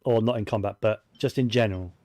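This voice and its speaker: A man's voice: fairly low pitch, quick pace, darkish tone, no room sound. A man giving casual video game commentary, musing and thinking aloud to himself as he plays.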